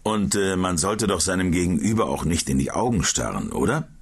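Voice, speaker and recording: A man's voice: deep voice, lively intonation, fairly quick, creaky, husky. A man in a scripted audiobook dialogue, asking his colleague curious questions.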